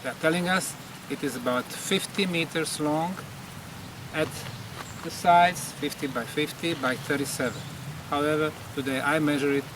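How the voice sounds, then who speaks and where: A man from Bosnia giving an emphatic on-location explanation as a presenter, in deliberate short phrases. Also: fairly high pitch. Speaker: presenter, pyramid researcher. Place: outdoors at a temple site. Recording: distant mic, clear outdoor background noise.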